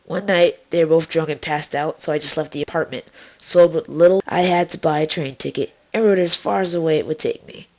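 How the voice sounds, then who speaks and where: A tough, foul-mouthed young cartoon-style mare played by a woman. She speaks on the low side with a sardonic, nonchalant edge, tossing out lines wryly. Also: steady tone, fairly quick, a little mellow. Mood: matter-of-fact.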